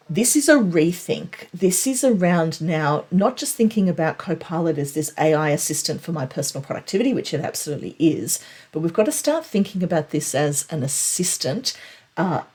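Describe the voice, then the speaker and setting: A woman with a low, husky voice presents tech news in an explanatory recap. Her delivery is conversational, and she stresses the key points as she walks through them. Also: darkish tone.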